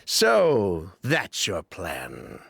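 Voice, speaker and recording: An actor playing a bitter, defiant criminal set on revenge in a video game, with a scornful, dramatic delivery. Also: male voice, deep voice, huge pitch swings, drawn-out words, creaky, a bit bright, breathy edge, no room sound, studio quality, exaggerated voice.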